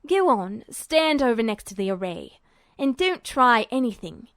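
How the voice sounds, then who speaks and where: A woman's voice: fairly high pitch, very animated, unhurried, fairly bright tone, no echo, full character voice. A woman voicing a smug, bullying male military guard who gives curt commands, in a story reading. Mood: smug, commanding. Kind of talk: audiobook-style character voice.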